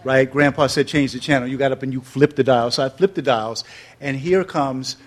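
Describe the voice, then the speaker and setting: A man in his sixties from New York City tells long stories to an audience as an interview guest. His voice sits at an ordinary pitch, and he switches between lively, laughing bursts and slow, reflective stretches. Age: 60s. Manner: animated storyteller, laughs often, drops into slower reflective passages. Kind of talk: storytelling before an audience.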